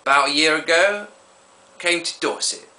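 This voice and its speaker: A man playing a wretched man ruined by drink, giving a halting, rueful confession in a mock documentary interview. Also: fairly high pitch, bright, forceful tone, distant mic. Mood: wistful, rueful.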